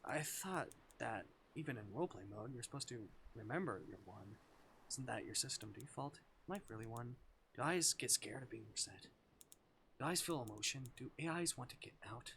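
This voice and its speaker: A shy AI companion from a visual novel read by a man speaks with longing and unease. The delivery is hesitant and vulnerable, in a medium-pitched voice, with some faint noise behind it. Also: lively intonation, normal speed, gravelly, a bit bright. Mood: uneasy questioning.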